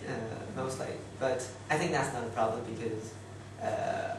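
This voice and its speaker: A young Taiwanese man gives an informal talk in halting, chatty English with a Taiwanese accent, often doubling back on his phrases. His voice sits in a middle register. It is picked up from a distance in a very echoey room with clear background noise. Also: medium pitch. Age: young adult. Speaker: student speaker. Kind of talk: informal presentation to students.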